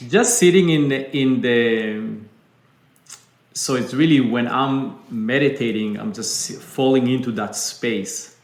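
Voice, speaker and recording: A man from Israel with an Israeli accent, a guest telling his story in a calm, reflective way. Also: mid-range voice, slow. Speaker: business owner guest. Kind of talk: interview storytelling. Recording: echoey room.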